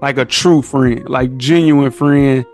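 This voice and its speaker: A man's voice: medium pitch, steady tone, takes it slowly, darkish tone, faint music behind. An American man chatting casually as a podcast guest, in slangy talk full of "man", "bro" and "you know". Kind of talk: casual chat.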